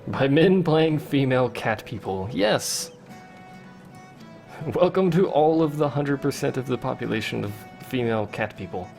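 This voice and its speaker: A man's voice: mid-range voice, lively intonation, normal speed, gravelly, a little mellow, faint music behind. A young man casually commenting while playing a game on a livestream, answering chat with wry asides. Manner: chatty, reads chat questions aloud, wry asides. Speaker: let's play streamer.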